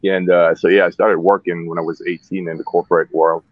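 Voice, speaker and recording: A man's voice: deep voice, flat delivery, a little fast, dry recording, highs cut off. A man in his thirties from Switzerland, a cigar maker guest talking loosely and candidly on a radio show, full of fillers and restarts. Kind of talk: relaxed interview talk. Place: radio studio.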